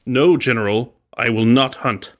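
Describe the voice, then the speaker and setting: Guy acting trapped guest in audio drama, terse, guarded, defiant, medium pitched voice. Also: moderate pace, a little hiss or hum, close to an everyday voice. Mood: firm, defiant. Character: tense, defiant male guest trapped by a host.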